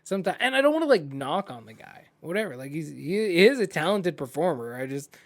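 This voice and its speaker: A man with a fairly high voice, co-hosting a casual podcast and talking in a slangy, easygoing way. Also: big pitch swings, fairly quick. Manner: casual, slangy, laughs often. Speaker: podcast co-host. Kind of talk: casual chat.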